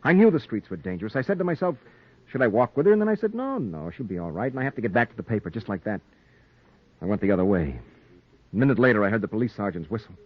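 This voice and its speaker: Noir radio lead: brooding, driven male reporter, lowish voice, old muffled 1940s recording. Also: very animated, fast talker, husky. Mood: anguished. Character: driven, guilt-ridden male newspaper reporter in a noir thriller. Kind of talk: 1940s radio drama acting and noir narration.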